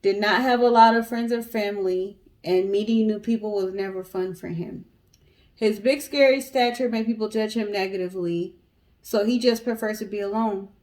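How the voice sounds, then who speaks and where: A woman's voice: mid-range voice, fairly even intonation, moderate pace, darkish tone. A woman co-hosting a story show, reading a tale aloud in a casual way. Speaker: co-host of a story guessing show.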